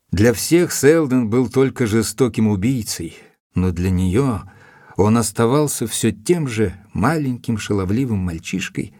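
An actor playing a sober gentleman storyteller, narrating an audio drama in a measured, literary way. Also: on the low side, no echo, clean studio sound, close to an everyday voice.

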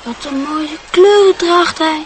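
A woman's voice: high-pitched, slow. A woman playing a curious, naive young boy in a radio play, wide-eyed and hesitant. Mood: curious, awed. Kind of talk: Dutch radio play acting. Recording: faintly noisy radio play recording.

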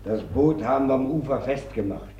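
A man's voice: medium pitch, normal speed, dark tone, highs cut off, a little hiss or hum, lightly acted. An actor playing a grim, plain-spoken fisherman in a radio play, blunt and terse. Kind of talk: German radio play acting.

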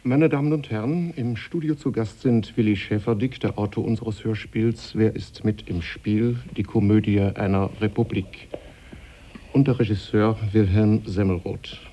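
A man hosts a formal studio interview in scripted sentences. His voice is fairly low and mellow, with a husky grain. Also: steady tone, normal speed. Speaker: radio host interviewing studio guests. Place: radio studio.

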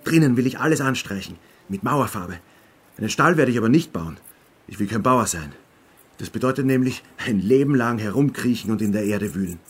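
An actor playing a shy, earnest young mountain labourer in a radio drama, speaking haltingly and repeating his words. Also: mid-range voice, expressive, slightly rough. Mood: nervously eager.

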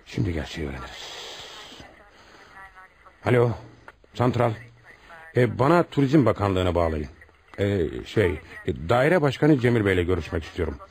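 A man's voice: on the low side, very animated, normal speed, pauses often, a little mellow. A man acting a stern, insistent homicide police commissioner in a radio drama, with a commanding, pressing manner. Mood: brooding, businesslike.

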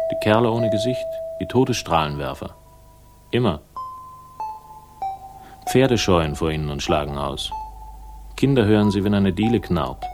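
An actor in a radio crime play playing a cynical, stubborn investigator, speaking in a laconic, sardonic way with a gravelly voice. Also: male voice, lowish voice. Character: cynical, stubborn male hard-boiled crime investigator, wry and defiant. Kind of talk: 1960s German radio play acting, dialogue and narration.

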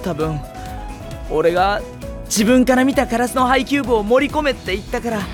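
A man's voice: very high voice, moderate pace, over music. An actor voicing a blunt, irritable teenage boy on a volleyball team, giving dry retorts. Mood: deadpan. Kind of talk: anime drama CD acting.

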